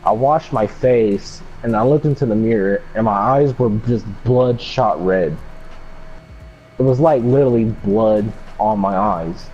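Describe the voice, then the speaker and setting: A man's voice: fairly low pitch, measured pace, darkish tone. A young man telling a personal story casually in a laid-back, slangy way with some swearing. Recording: dull lo-fi mic with background noise.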